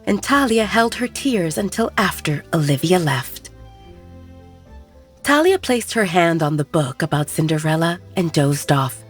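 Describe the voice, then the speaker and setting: Lowish-voiced woman narrating a story. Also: lively intonation, measured pace, husky. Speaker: audiobook narrator. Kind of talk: scripted dramatic story narration. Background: background music.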